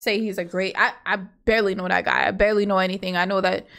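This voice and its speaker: A woman's voice: medium pitch, steady tone, fast talker, vocal fry, a bit bright. A woman from Hawaii co-hosting a casual podcast with her husband, rambling through her points with lots of asides and fillers. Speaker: podcast co-host. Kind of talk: casual opinionated chat.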